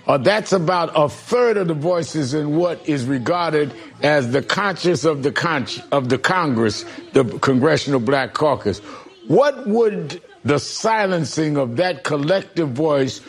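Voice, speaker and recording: A middle-aged or older man hosting a political interview, speaking haltingly with a gravelly, husky voice and repeated words. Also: fairly high pitch, expressive. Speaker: political talk show host. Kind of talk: broadcast news interview.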